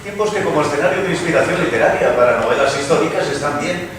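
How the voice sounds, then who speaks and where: A man's voice: a little high, animated, fast talker, natural voice. Guy playing witty opinionated poet, wry, far from mic, tons of echo. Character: witty, opinionated male English poet among literary friends. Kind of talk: dramatized reading of a literary debate. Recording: very echoey distant room, clear noise.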